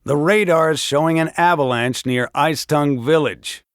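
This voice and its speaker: An actor playing a folksy, rambling old American small-town sheriff with dry humor, giving emergency radio announcements in a video game. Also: male voice, fairly high pitch, moderate pace, smooth, dry recording, lightly acted. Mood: amused, wry. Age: older.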